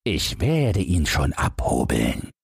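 A man's voice: low-pitched, huge pitch swings, slow pace, gravelly, slightly rough, dry recording, clean studio sound, heavily acted. A man voicing a sly, self-assured village sexton in a fairy-tale audiobook. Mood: confident.

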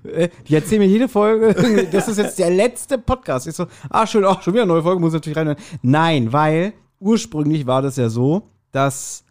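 A man chatting casually as a podcast host, telling anecdotes in an animated way and acting out quoted remarks. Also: a little high, normal speed, few pauses. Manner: animated storytelling, laughs readily, acts out quoted remarks.

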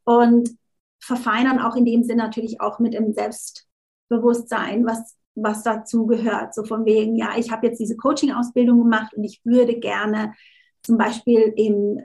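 A woman's voice: a little high, flat delivery, a little slow, darkish tone, poor audio. A woman interview guest, a coach, talking casually, often restarting words and slipping in English phrases. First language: not German, unsure of her German.

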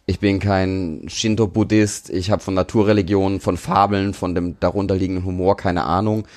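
A man's voice: sits low, level tone, normal speed, a little mellow, no room sound. A man in a casual interview as the guest, a tattoo artist talking in slangy, colloquial language peppered with English words.

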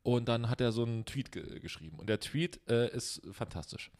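A man's voice: medium pitch, brisk, a little mellow. Podcast co-host, young man, loose and teasing, plenty of ums. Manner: rambling, joking, many fillers. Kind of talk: casual chat.

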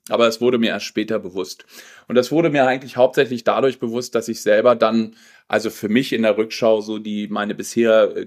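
A man in his forties with a loud, commanding voice, telling his own story as an interview guest in a reflective, halting way. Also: lowish voice. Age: 40s. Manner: reflective, halting with frequent pauses and repeated words. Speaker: podcast guest, personal development coach.

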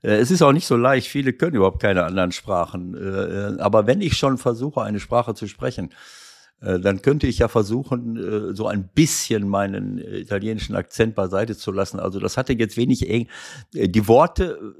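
A man's voice: fairly low pitch, normal speed, gravelly. An older man, a football coach and commentator, chatting on a podcast in a rambling way with many hesitations. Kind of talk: casual podcast chat.

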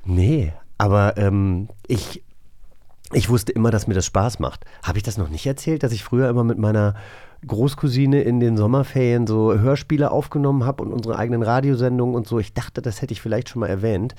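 A man's voice: mid-range voice, a little fast, mellow, no room sound. Man chatting, close dry sound. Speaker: podcast co-host. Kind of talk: casual chat.

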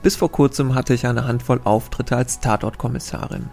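A man's voice: medium pitch, steady tone, moderate pace, vocal fry, a little mellow, soft background music. A man hosting a casual quiz podcast, reading out riddles and wandering into chatty, self-correcting asides.

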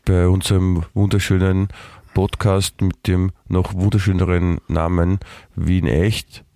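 A man with an Austrian German accent co-hosting a podcast in playful, rambling banter, his voice hoarse and full of halting restarts. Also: low voice, level tone, dark tone. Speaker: podcast co-host. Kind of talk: casual banter.

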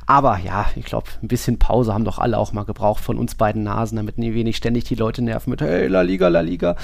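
A man's voice: mid-range voice, quick pace, vocal fry, dry recording. A man co-hosting a football podcast, chatting casually in a loose, colloquial way. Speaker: podcast co-host.

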